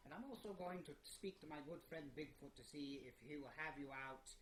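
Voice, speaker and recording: A man's voice: on the high side, brisk, background noise. Man hosting, in a roomy echo. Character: mad scientist horror host, chatty and genial. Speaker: horror show host. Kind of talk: comic in-character interview.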